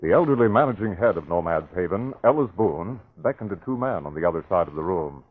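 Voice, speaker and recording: A man narrating a radio drama in a measured, dramatic storytelling style. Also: deep voice, animated, husky, no room sound. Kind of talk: scripted narration. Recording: tinny old radio broadcast.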